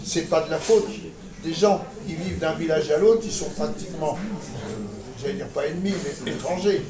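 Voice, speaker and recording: A man's voice: on the high side, normal speed, a crowd in the background. An older man speaking bluntly and provocatively in a debate, with frequent restarts. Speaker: audience member. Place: conference hall with audience. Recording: echoey hall, distant mic, audience.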